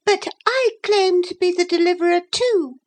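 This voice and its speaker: A woman's voice: extremely high pitch, normal speed, voice pushed up. A woman voicing a haughty, defiant storybook lady with a thin, disagreeable voice. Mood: defiant, indignant. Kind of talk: storybook character voice.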